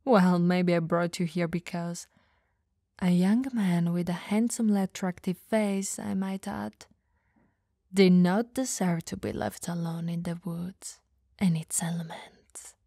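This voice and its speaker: A woman playing a teasing, dominant but caring vampire in an ASMR audio roleplay, breathy and gravelly, often dropping to whispers, coaxing and playfully condescending. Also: on the low side, fairly even intonation, a little slow, mellow, dry recording, clean studio sound. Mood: wry, defensive.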